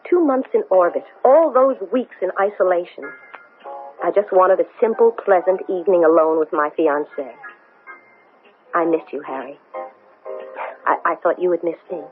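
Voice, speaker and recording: A woman's voice: fairly low pitch, moderate pace, long pauses, faint music behind, close to an everyday voice. A woman playing a determined, wry, weary astronaut in a 1970s radio drama, with emphatic theatrical delivery. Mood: wry, hurt. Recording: noisy lo-fi 1970s radio broadcast.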